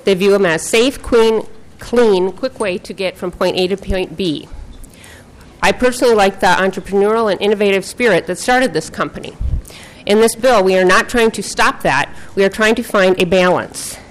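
A woman senator formally presenting her bill to a legislative committee, reading from prepared notes in a measured way. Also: medium pitch, low-quality recording. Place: legislative committee hearing room.

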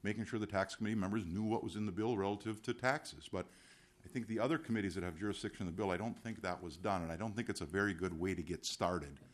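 A man with a fairly low voice addresses the chair in a legislative debate. He speaks in a measured way as he raises concerns about committee process. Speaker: state senator.

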